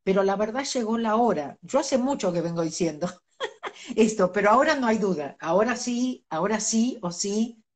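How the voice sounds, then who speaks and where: Middle-aged woman guest, earnest, mid-pitched talk with easy laughter. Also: animated, normal speed. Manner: earnest, laughs between points. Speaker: interview guest, spiritual teacher. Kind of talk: reflective conversational interview.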